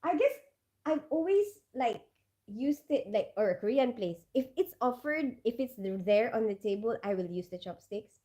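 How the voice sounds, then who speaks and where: A woman's voice: on the high side, lively intonation, moderate pace, mellow. A woman chatting casually to viewers, full of fillers and asides. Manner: chatty, many fillers, reads out comments. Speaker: vlogger. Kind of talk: casual live chat with viewers.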